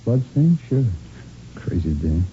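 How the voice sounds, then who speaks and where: An actor playing a slick, irritable American boyfriend under police questioning, defensive and dismissive, in old radio drama style. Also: male voice, very animated, slow pace, slight background noise. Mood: evasive, irritated. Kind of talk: 1950s radio drama acting. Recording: dull, rumbling vintage radio recording.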